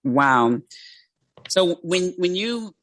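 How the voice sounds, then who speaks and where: A woman's voice: lowish voice, expressive, very slow, fairly bright tone. A woman host with a South Philadelphia accent, chatting casually and laughing often, her speech a little thick from dental brackets. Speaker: podcast host. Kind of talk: casual interview chat.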